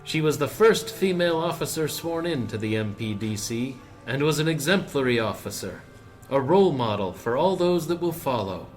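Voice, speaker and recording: A man's voice: medium pitch, expressive, normal speed, soft background music. A man delivering a formal scripted tribute in a solemn manner.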